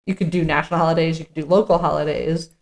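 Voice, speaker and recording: A woman's voice: deep voice, steady tone, rapid-fire, vocal fry, darkish tone. A woman co-hosting a podcast, thinking aloud in an informal, musing way and reading out articles. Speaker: podcast co-host. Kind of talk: casual chat with read-out articles.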